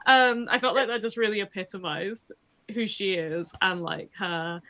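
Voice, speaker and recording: A woman's voice: mid-range voice, normal speed, sharp tone. A woman voice actor chatting casually and expressively as a guest on a livestream, with a giggly manner.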